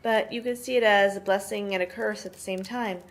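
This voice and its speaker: A woman's voice: mid-range voice, fairly even intonation, fairly quick, close to an everyday voice. A woman playing a tough, protective sister who mothers her brothers, explaining things matter-of-factly in an amateur audio play. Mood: candid. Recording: noisy amateur home recording.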